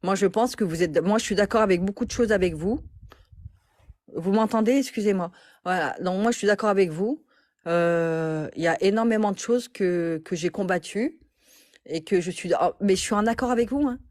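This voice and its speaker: A woman's voice: mid-range voice, normal speed, a little mellow, no echo. A woman calling in to a live stream, answering hesitantly with many restarts. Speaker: caller. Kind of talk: live call-in chat.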